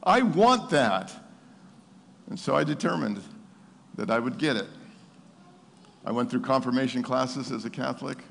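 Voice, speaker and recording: Gravelly seventy-year-old preacher in a reverberant hall. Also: male voice, medium pitch, a little hiss or hum. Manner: emphatic, repeats phrases for effect. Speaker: pastor preaching to his congregation. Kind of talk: sermon with personal testimony.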